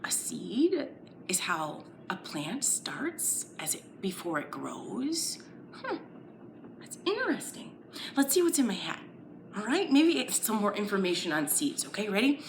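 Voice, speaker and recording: A woman's voice: mid-range voice, a bit bright, slight background noise. A woman leading a children's story time lesson, playful and exaggerated, encouraging little listeners with questions and sing-along phrases.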